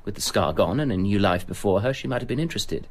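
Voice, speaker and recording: A man's voice: on the low side, animated, fast talker. An actor playing an evasive, self-important businessman who justifies himself defensively as a suspect in a radio drama.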